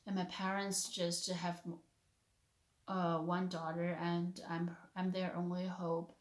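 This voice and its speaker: A woman in her thirties from China, speaking English with a Chinese accent as a non-native speaker, giving an earnest personal faith testimony in a halting way, searching for words. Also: fairly low pitch, level tone, reverberant. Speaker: vlogger, stay-at-home mother.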